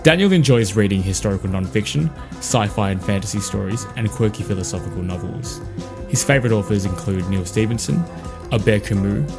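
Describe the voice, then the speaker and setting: A man's voice: on the low side, lively intonation, a little fast, gravelly. A man reading a scripted podcast outro and credits as the host. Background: music with guitar.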